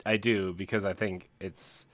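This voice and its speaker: A man co-hosting a podcast in casual chat, talking in a rambling, halting, self-deprecating way. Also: low-pitched, level tone, a loud crowd. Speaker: podcast co-host.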